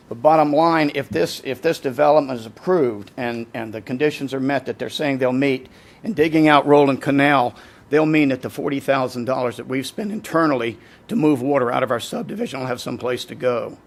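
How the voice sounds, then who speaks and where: A man gives public comment at a county meeting as a local resident. His voice is gravelly and worn, close to failing, and he talks earnestly while doubling back over repeated words. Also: mid-range voice, fairly quick. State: losing his voice. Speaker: resident speaking at public hearing.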